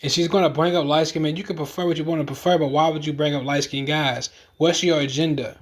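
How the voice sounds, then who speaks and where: A man's voice: a little high, steady tone, quick pace. A man giving opinionated online commentary in an earnest, insistent manner.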